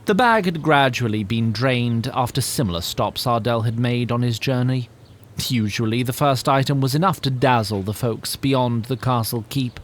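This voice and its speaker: A man narrating a fantasy audio drama in a deliberate, literary storybook style. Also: mid-range voice, slight background noise, lightly acted. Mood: measured storytelling.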